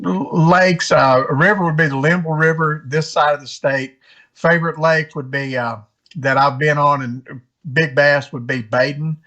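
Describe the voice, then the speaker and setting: A man from West Virginia talking casually as an interview guest, halting and often repeating his words. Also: a little high, fairly even intonation, bright, forceful tone. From West Virginia, United States. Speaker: interview guest, amateur tournament angler.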